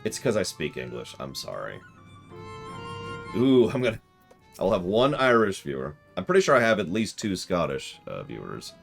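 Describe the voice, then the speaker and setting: A man's voice: deep voice, wildly swooping pitch, moderate pace, vocal fry, soft background music. A man streaming a game, chatting casually with viewers and rambling in a self-deprecating way. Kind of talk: casual live-stream chat while gaming.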